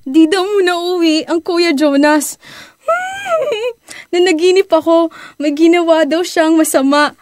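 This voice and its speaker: Woman as a very high, whiny little sister. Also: big pitch swings, takes it slowly, dry recording, clean studio sound, exaggerated voice. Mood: tearful, whiny. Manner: melodramatic, whiny, girlish. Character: whiny, dramatic young little sister, girlish. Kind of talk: amateur radio drama acting.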